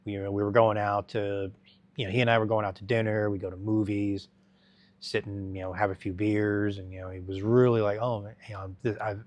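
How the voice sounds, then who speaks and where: A middle-aged man telling his life story as an interview guest, halting and rambling with many restarts. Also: fairly low pitch, little pitch movement.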